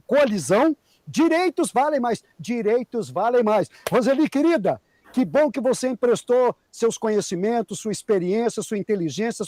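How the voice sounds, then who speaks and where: A Brazilian man hosts the program in a high voice. He closes warmly and effusively, heaping praise on his guests and announcing news. The sound is dry and close. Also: no echo. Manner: warm, effusive, promotional. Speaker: program host. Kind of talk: closing a talk show.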